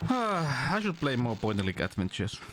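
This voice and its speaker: A man's voice: medium pitch, sing-song, takes it slowly, fairly bright tone, faint music behind. A man streaming a game, talking casually to himself in a rambling, playful way. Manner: rambling, playful self-talk. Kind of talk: casual gameplay chat.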